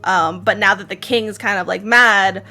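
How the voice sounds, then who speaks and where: A woman's voice: medium pitch, fairly even intonation, moderate pace, sharp tone, faint music behind. A woman with an Israeli accent, narrating a tabletop game as game master in a casual, laughing way. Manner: laughs often, casual with fillers.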